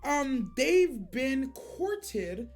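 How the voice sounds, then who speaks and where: High-pitched woman in animated chat. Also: extremely slow, faint music behind. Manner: animated, slangy, swearing. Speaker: reaction video host. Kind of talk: casual reaction chat.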